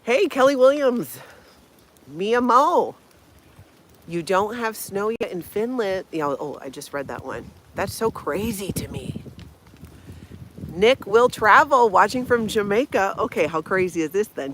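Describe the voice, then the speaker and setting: A woman's voice: mid-range voice, fairly bright tone. A woman in her fifties from Hawaii, hosting a casual outdoor live stream, chatting and laughing as she reads viewer comments. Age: 50s. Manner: chatty, laughs often, greets viewers by name. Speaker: channel host. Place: snowy backyard outdoors in Minnesota.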